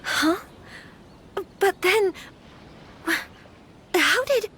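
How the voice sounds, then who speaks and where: An actress playing a gentle young noblewoman in a video game, earnest and polite, with a hesitant way of talking. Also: high-pitched, animated, a little slow, slight background noise. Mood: startled, confused. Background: music.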